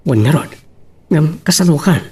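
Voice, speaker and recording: A man's voice: on the high side, lively intonation, normal speed, a little mellow, slightly rough, distant mic. A man with an Ilocano accent playing an earnest young suitor in a radio drama.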